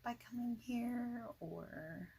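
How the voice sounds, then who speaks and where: A woman playing a friendly, informal boss in an ASMR interview roleplay, speaking softly and haltingly with whispered phrases. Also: fairly high pitch, very noisy. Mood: casual, welcoming. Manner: halting, casual, soft-spoken, with breathy whispered phrases.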